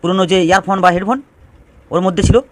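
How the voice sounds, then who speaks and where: Man, high voice, quick pace, informal tutorial narration, explanatory with repeated phrases. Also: steady tone. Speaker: DIY electronics tutorial host.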